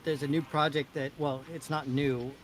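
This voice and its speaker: A man's voice: fairly high pitch, flat delivery, normal speed, background noise. A man talking casually as co-host of a livestream. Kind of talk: casual chat.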